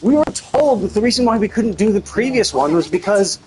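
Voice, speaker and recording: A man's voice: sits high, animated, a little fast, background noise. A man giving casual, opinionated game commentary on a livestream, swearing freely as he talks. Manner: profane, opinionated, mockingly paraphrases characters.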